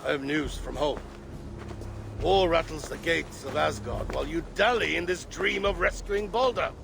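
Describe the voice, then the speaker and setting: The stern grown son of a Norse god in a video game, speaks with blunt reproach. His voice is creaky and gravelly, slightly high for a man, with clear noise behind it. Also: moderate pace. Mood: reproachful. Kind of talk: dramatic game cutscene acting.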